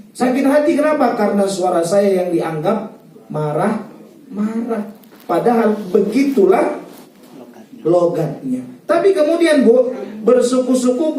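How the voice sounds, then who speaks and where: A man from Medan giving a lively, joking wedding sermon, talking straight to the guests. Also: fairly high pitch. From Medan, North Sumatra. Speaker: preacher giving wedding advice. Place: wedding venue hall. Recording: echoey hall, voice from far off.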